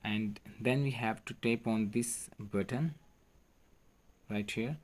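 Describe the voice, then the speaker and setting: A man's voice: on the low side, takes it slowly. A man hosting a how-to tutorial, explaining an app step by step. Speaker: tutorial host.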